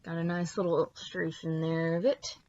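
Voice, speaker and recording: A woman's voice: sits low, flat delivery, a little fast, warm tone. A woman reading a children's bedtime story aloud expressively, on a slightly dull recording.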